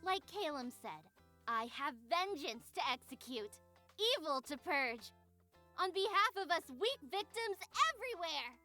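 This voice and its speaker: A woman's voice: high-pitched, animated, unhurried, bright, forceful tone, soft background music, full character voice. A woman playing a defiant middle-school magical girl in a visual novel, intense, self-righteous and set on vengeance. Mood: vengeful, resolute. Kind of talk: voiced visual novel acting.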